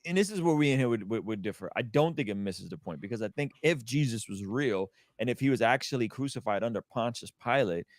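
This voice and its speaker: A man's voice: mid-range voice, expressive, fast, few pauses, no echo, clean studio sound. A man speaking as a religious apologist in impassioned conversational preaching, repeating phrases for weight.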